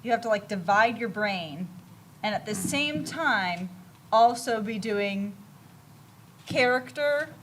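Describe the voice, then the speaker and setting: A woman's voice: medium pitch, expressive, slow pace, a bit bright. A woman panelist at a live convention giving informal, emphatic advice in short, broken-up pieces. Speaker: panelist, animation creator. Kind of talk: informal explanatory panel talk. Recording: noticeable ambient event noise.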